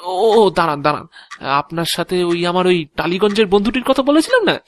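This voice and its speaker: Man playing an excitable, high-voiced chatty office clerk. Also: quick pace, no room sound. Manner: animated, gushing, stammering repetitions. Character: excitable, chatty male private-office clerk, sarcastically flattering. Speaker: actor in audio drama. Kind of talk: Bengali audio drama dialogue acting.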